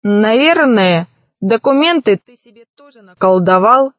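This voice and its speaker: Storybook villain: commanding, irritable male rat pirate, played by a woman, unhurried delivery. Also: fairly high pitch, animated, dry recording, muffled recording. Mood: scornful. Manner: commanding, scornful. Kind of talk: children's storybook reading.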